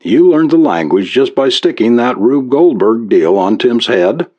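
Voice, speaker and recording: A man voicing a brisk, plain-spoken and skeptical American police officer. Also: mid-range voice, big pitch swings, a little mellow, highs cut off, voice pushed up. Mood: incredulous.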